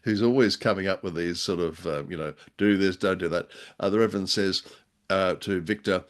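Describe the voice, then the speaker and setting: A man's voice: low-pitched, brisk. A man co-hosting a podcast in casual chat, jovial and rambling, with hesitant phrasing and playful mock-dramatic asides. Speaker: podcast co-host.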